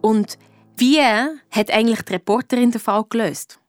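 A woman's voice: on the high side, moderate pace, soft background music, close to an everyday voice. A woman playing a cheeky, stubborn schoolgirl detective in a German audio drama, with a Swiss accent and colloquial storytelling. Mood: frustrated.

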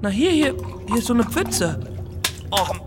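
An actor playing a hapless, chatty, well-meaning friend in a German radio crime drama, rambling and flustered. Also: male voice, very high-pitched, sing-song, a little slow, over music, full character voice.

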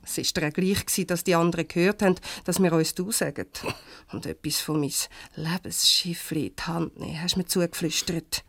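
An actress playing a defiant, quick-tongued young employee in a radio play, sharp and sarcastic, with a Swiss German accent. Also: female voice, lowish voice, steady tone, gravelly, no room sound. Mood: indignant.

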